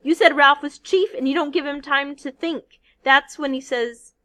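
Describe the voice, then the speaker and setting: A woman voicing a fretful, indignant schoolboy stranded on an island, shrill and scolding. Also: fairly even intonation, moderate pace, fairly bright tone. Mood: lecturing.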